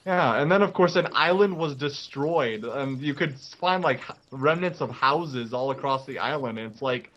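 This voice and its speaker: A young man co-hosting a let's play with casual, agreeable gaming commentary, delivered in a halting, conversational way. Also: mid-range voice, lively intonation, a bit bright, lo-fi sound.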